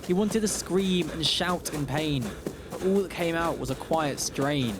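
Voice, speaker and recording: A man narrating a rhyming radio story in a theatrical, measured verse delivery. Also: on the high side, background noise.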